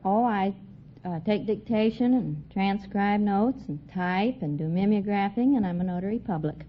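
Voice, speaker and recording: Woman contestant, medium pitch, old radio sound. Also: normal speed. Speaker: quiz contestant, public stenographer. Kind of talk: answering a radio quiz host. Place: radio studio before a live audience. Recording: dull, noisy old radio recording.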